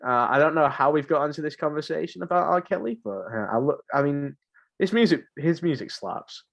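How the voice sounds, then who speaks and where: A man's voice: on the high side, animated, a little fast, gravelly, a bit bright, highs cut off. A seventeen-year-old young man co-hosting a podcast, chatting casually about games in an informal, slangy, jokey way. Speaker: podcast co-host.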